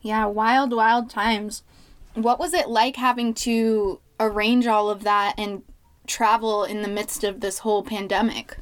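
A woman's voice: mid-range voice, fairly even intonation, measured pace, clear, resonant tone. A woman hosting a podcast, interviewing a guest remotely with short, friendly questions. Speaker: podcast host. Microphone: video call.

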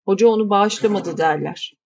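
A woman's voice: medium pitch, a little fast, muffled recording, lightly acted. A woman reading as a curt, grumbling housekeeper. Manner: curt, scolding.

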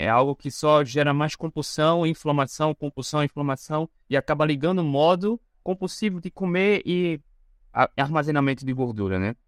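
Relaxed Brazilian man hosting a podcast. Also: medium pitch, no echo, studio quality. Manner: relaxed, conversational, with fillers. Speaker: podcast host. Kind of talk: casual chat.

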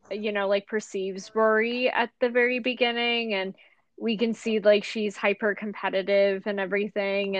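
Young woman, mid-pitched, tentative podcast chat. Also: fairly bright tone, rough recording. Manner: tentative, filler-heavy, thinking aloud. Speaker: podcast co-host. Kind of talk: casual TV recap discussion.

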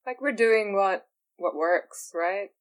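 A woman's voice: mid-range voice, takes it slowly. Easygoing woman chatting casually. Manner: easygoing, laughs along. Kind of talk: casual chat.

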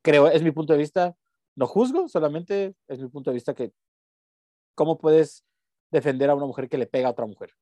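A man's voice: fairly high pitch, fairly quick, mellow, no room sound. A young man with a Mexican accent, co-hosting a podcast in slangy, rambling casual chat. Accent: Mexican Spanish. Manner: slangy, rambling, breaks into bits of song. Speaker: podcast co-host.

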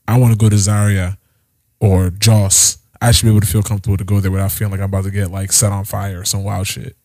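A man co-hosting a podcast, teasing his co-host in an animated, joking way. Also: on the low side, no room sound. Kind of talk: casual chat.

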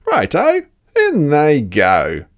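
A storyteller voicing a boastful, gleeful male dingo cook in a storybook, excitable and singsong. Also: medium pitch, extremely slow, voice pushed up, heavily acted. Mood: eager, gleeful.

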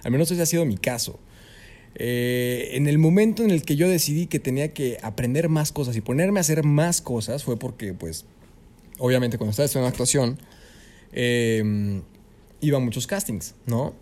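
A young man with a Mexican Spanish accent, a student guest telling his story in a casual podcast interview, animated and peppered with "you know?". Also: mid-range voice, normal speed. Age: young adult. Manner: animated storyteller, leans on "¿sabes?".

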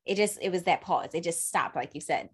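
A young adult woman co-hosting a casual conversation, speaking candidly and acting out quoted remarks with animated swings. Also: fairly low pitch, fairly quick, breathy edge. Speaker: podcast co-host.

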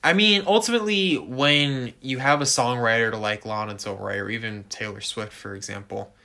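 A man co-hosting a casual music podcast, talking to listeners directly in an animated way and leaning on stock phrases. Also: mid-range voice, moderate pace, vocal fry. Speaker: podcast co-host.

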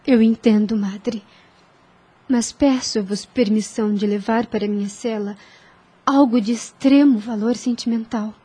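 An actress playing a devout, gentle young woman about to become a nun in a radio drama, speaking softly and earnestly. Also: fairly high pitch, steady tone, unhurried, breathy edge.

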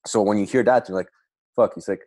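A man's voice: fairly low pitch, steady tone, fast, a little mellow, no room sound. A man hosting a podcast in casual chat, rambling with lots of fillers and restarts. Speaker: podcast host.

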